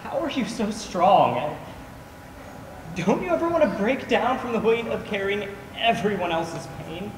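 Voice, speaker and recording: A man's voice: high voice, big pitch swings, unhurried. A young man on stage playing a flamboyant, witty, ailing drag queen with campy, projected delivery. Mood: tender. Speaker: stage actor in a school play. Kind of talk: theatrical stage acting. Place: large theatre stage, indoors. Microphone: far camera. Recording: echoey theatre, distant microphone, clear noise.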